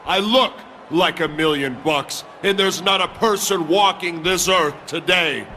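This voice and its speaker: Arrogant, slow-talking wrestling villain. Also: male voice, high voice, background noise. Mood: boastful. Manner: cocky, boastful, deliberate. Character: arrogant, menacing male wrestling villain champion. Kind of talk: wrestling promo acting in a video game.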